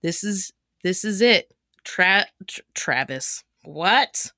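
A woman's voice: lowish voice, lively intonation, slow, sharp tone, no room sound, clean studio sound. A woman hosting a casual podcast chat in a playful, theatrical manner. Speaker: podcast host.

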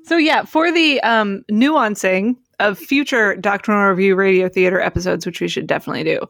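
A woman's voice: mid-range voice, brisk, creaky, a bit bright, no room sound, clean studio sound. A woman co-hosting a podcast, chatting casually and explaining things from experience. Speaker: podcast co-host.

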